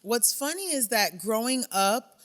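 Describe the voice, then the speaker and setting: Lively woman radio host storytelling. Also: fairly high pitch, a little slow, in a large hall. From South Florida. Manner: lively storyteller who acts out voices she quotes. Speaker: radio host, podcast guest. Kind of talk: casual interview chat.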